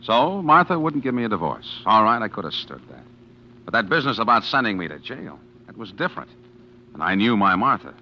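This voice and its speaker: A man's voice: fairly low pitch, pushed and bright, slight background noise, full character voice. An actor playing a calculating man who narrates his plan to poison his wife in a 1940s radio drama, hard-boiled and confiding, with nervous stammers. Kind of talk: first-person narration in 1940s radio acting. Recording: dull 1940s radio recording with music underneath. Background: music.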